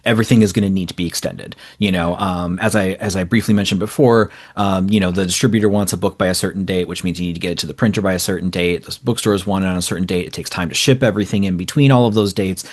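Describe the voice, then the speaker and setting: A man's voice: sits low, steady tone, fast, few pauses. A man giving casual, explanatory interview answers in long, rambling, informal runs as a guest. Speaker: interview guest, small indie publisher.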